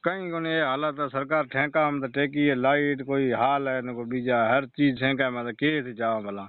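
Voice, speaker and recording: A man's voice: mid-range voice, steady tone, moderate pace, smooth, dry recording. A man with a Rajasthani accent making an exasperated, insistent complaint as a customer, in colloquial dialect, over the phone. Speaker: customer phoning in a power-cut complaint. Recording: muffled, band-limited phone call recording.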